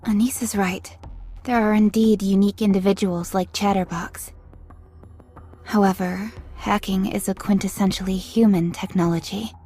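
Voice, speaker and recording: An actress playing a serious, composed young woman squad leader in a video game, speaking in an earnest, measured way. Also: medium pitch, fairly even intonation, mellow, slightly rough, lightly acted. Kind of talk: English video game dub acting. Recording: music behind the voice.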